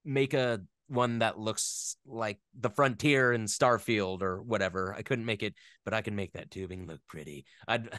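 A man with a fairly low, clean and resonant voice talks casually as an interview guest. He feels his way through sentences in a rambling, geeky, enthusiastic manner. The sound is dry, with very little room on it. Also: moderate pace, a bit bright, dry recording. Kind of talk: casual chat.

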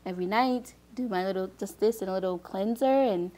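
A woman in her thirties from Haiti chats casually on a talk show set. She talks in a lively, loose way, with "like" in nearly every sentence. Her voice sits at a middle pitch, picked up close by a lapel mic. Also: brisk. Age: 30s. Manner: chatty, animated, lots of "like". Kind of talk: casual chat. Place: TV studio set, seated on sofa.

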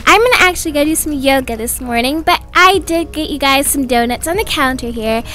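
A woman voicing a doting, chatty young mother of twins in an animated roleplay story. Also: high voice, brisk, faint music behind. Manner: gushing, chatty, fussing.

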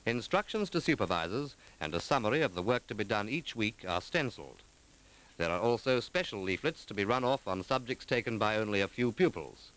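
A man reading scripted narration for a 1940s documentary film in a measured, formal, articulate manner. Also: on the low side, expressive, fairly bright tone, rough recording. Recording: 1940s film soundtrack with music.

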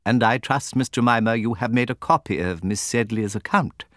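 A man's voice: mid-range voice, moderate pace, fairly bright tone, no room sound, clean studio sound, pitched above the performer's own voice, natural voice. A man voicing a stately, cold older headmistress in an audiobook, with pompous, formal, commanding speech. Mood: brisk, imperious. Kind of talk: audiobook character acting.

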